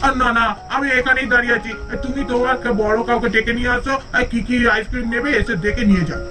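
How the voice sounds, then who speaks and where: Man as eager ice cream hawker, very high voice, persuasive patter, puppet story, distant, music behind. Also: steady tone, fast. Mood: businesslike. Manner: calling out, salesman's patter. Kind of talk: puppet doll story voice acting.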